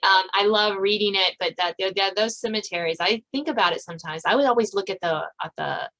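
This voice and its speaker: Female podcast host, American, from Virginia. Conversational, self-deprecating, wanders into asides, medium pitch. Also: fast, sharp tone, poor audio. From Virginia, United States. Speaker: podcast host interviewing an author. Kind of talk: casual conversational interview.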